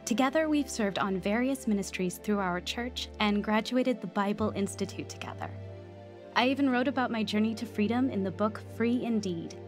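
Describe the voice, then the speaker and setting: An actress playing an earnest, devout young woman who tells her story with measured care in a radio drama. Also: medium pitch, soft background music, natural voice. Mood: grateful, assured. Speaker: lead actress and first-person narrator. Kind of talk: scripted radio drama narration.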